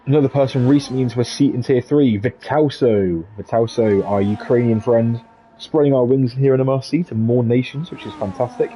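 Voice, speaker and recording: A man's voice: mid-range voice, a little fast, mellow, slight background noise, poor audio. A man giving live, chatty commentary on an online racing league, upbeat with playful asides. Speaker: esports race commentator.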